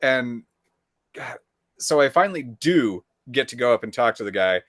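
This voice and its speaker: A man's voice: medium pitch, normal speed, no echo, studio quality. Exasperated bearded man telling a story. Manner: animated storyteller who acts out the people he quotes; frequent swearing, wry and exasperated. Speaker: podcast co-host. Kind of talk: casual chat.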